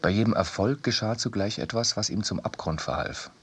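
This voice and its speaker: A man's voice: on the low side, fairly quick, fairly bright tone, full character voice. A man reading as a sober, laconic chronicler in literary radio narration. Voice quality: husky.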